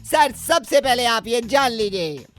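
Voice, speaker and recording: Woman putting on a high-pitched, chirpy cookery-show presenter. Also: animated, unhurried. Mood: chirpy, mock-instructive. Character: bubbly, pestering automated cookery-show woman giving silly kitchen tips. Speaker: prank caller. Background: music.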